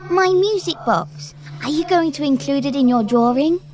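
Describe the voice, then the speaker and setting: A woman's voice: sits high, lively intonation, moderate pace. A sly, teasing young woman. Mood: playful. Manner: teasing, sly, lightly formal. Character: playful, mysterious young woman, teasing and knowing. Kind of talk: audio drama acting. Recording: soft music score underneath.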